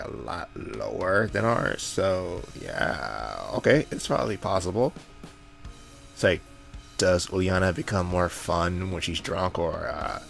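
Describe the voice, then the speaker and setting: A man with a low voice reads a visual novel aloud as a gaming host. He is jokey and casual, stumbling now and then and correcting himself. Music plays softly behind him. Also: vocal fry. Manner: jokey, slips and corrects himself while reading. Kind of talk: reading a visual novel aloud with joking asides. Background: background music.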